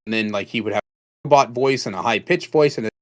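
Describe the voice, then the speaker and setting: A man's voice: medium pitch, fast talker. A man in casual podcast conversation, blunt and sweary, given to emphatic exaggeration. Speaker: podcast participant.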